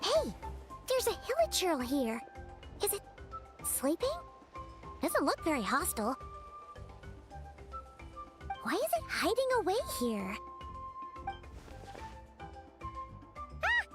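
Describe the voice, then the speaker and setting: A woman voicing a small, excitable, childlike fairy companion in an anime game, squeaky and chatty. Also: measured pace, long pauses, exaggerated voice. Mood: curious, surprised. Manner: chatty, exclaiming, refers to self in third person. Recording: music behind the voice.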